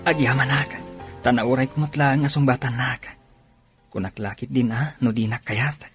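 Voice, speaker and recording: A man acting a hopeful but uneasy young suitor with a raspy voice in a radio drama. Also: mid-range voice, fairly even intonation, moderate pace, lightly acted. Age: young adult. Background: faint music.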